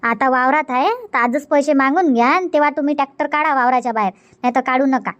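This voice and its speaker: A woman's voice: high-pitched, quick pace, natural voice. A woman playing a shrewd, outspoken farmer's wife in a cartoon comedy, blunt and emphatic, with a Varhadi Marathi accent from Vidarbha. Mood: scolding. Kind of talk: dubbed cartoon comedy dialogue. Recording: dull lo-fi audio.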